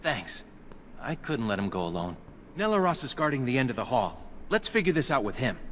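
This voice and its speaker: A man's voice: mid-range voice, very animated, brisk, fairly bright tone, a little hiss or hum. An actor playing a worried, earnest young male elf in a fantasy video game. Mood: worried, urgent. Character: anxious young male elf, a loyal cousin.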